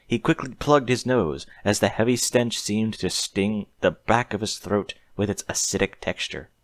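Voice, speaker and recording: A man's voice: on the low side, moderate pace, no echo. A man reading a story aloud in a scripted audiobook narration style.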